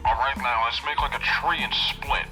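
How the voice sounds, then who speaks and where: A man's voice: mid-range voice, steady tone, moderate pace, music in the background, rough recording. A man playing a sarcastic, wisecracking teammate heard over radio comms in an audio drama. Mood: sarcastic, incredulous.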